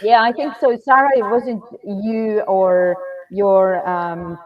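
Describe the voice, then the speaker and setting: A woman speaking casually as a guest in a relaxed group chat. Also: mid-range voice, slow pace. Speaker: writer, guest. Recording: dull lo-fi sound, people in background.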